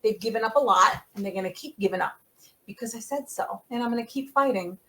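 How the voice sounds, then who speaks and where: Animated middle-aged woman guest. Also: on the high side, fast, rough recording. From United States. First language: Italian dialect. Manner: animated, emphatic, laughing. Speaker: podcast guest. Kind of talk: casual conversation.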